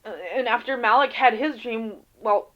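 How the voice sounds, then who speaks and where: A woman playing a weary, defensive field agent in an audio drama interview, speaking haltingly and casually. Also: a little high, fairly even intonation, lo-fi sound, lightly acted.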